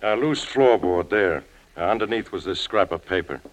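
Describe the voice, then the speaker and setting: A very deep-voiced police sergeant in vintage radio drama style. He reports dutifully in folksy, ungrammatical speech, respectful of his superior. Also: animated, moderate pace, slight background noise, rough recording. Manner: colloquial, ungrammatical, deferential. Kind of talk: 1940s-50s radio drama acting.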